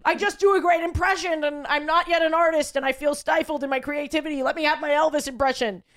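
A woman's voice: sits high, fairly even intonation, fast talker, a bit bright, dry recording, clean studio sound. A young adult woman hosting a podcast, telling a story in a wry, self-deprecating, casual way. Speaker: podcast host.

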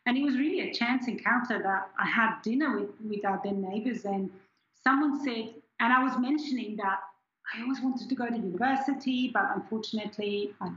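A woman's voice: fairly high pitch, a little fast, fairly bright tone, echoey room, highs cut off. A woman in her forties from Switzerland, reflectively telling her story as an interview guest with many restarts. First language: German. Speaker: scientist guest. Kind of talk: candid personal interview.

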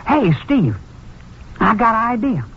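A boyish teenage crook with a high voice that swings widely up and down. He is slangy, jumpy and easily taken in, played in old radio-drama style. The recording is muffled, with a little noise. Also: big pitch swings, measured pace, exaggerated voice. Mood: eager, chummy. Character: excitable, naive, suspicious seventeen-year-old male car thief. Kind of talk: 1950s radio drama acting.

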